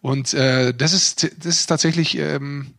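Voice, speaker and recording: A man's voice: medium pitch, fairly even intonation, unhurried, creaky, a bit bright. A man talking casually and earnestly on a podcast, philosophizing and searching for his words. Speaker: podcast co-host. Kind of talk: casual reflective podcast talk.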